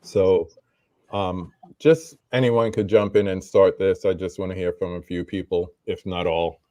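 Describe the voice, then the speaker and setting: A man's voice: sits low, steady tone, unhurried, dry recording, lo-fi sound. A middle-aged man hosting a live roundtable stream, casually greeting viewers from the chat. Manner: laid-back, shouting out chat viewers. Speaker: host.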